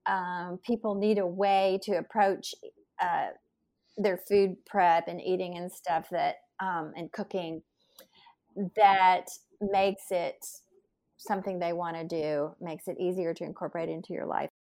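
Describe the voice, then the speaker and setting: A woman's voice: mid-range voice, darkish tone. A woman in her sixties hosting an interview, chatting and reminiscing casually with many fillers. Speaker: podcast host.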